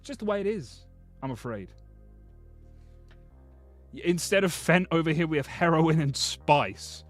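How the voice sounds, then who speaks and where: Joking man streaming, slightly hoarse. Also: on the high side, animated, measured pace, soft background music. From Britain. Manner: joking, rambling, swears freely. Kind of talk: casual livestream commentary.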